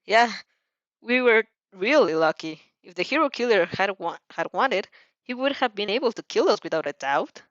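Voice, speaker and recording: A woman's voice: medium pitch, lively intonation, normal speed, dry recording, lightly acted. Teen girl hero-in-training, sincere, guarded, careful with words woman reader acting; dry, lo-fi fan audio drama. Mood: measured. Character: earnest, guarded female teenage hero student. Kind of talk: fan fiction audio reading.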